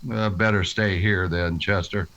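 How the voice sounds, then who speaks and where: A man playing a weary, plain-spoken lawman in a radio western, terse and deadpan. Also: low-pitched, measured pace, highs cut off. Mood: stoic, curt. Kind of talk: old-time radio western acting.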